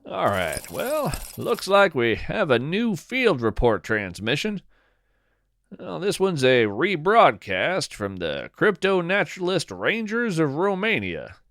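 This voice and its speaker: A man narrating a scripted first-person story as a podcast host, in a whimsical, deliberate and dryly playful manner. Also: a little high, wildly swooping pitch, a bit bright, no room sound, clean studio sound.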